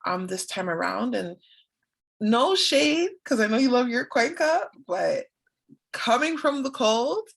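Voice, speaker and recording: A woman's voice: on the high side, lively intonation, slow, gravelly. A young woman, chatting as a guest in a casual interview, laughing often and acting out her own quotes. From Canada.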